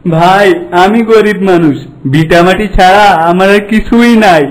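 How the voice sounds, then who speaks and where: A man's voice: sits high, moderate pace, natural voice. A man voicing a downtrodden poor villager in a cartoon folk tale, plaintive and tearful, with a Bangladeshi Bengali accent. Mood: sorrowful.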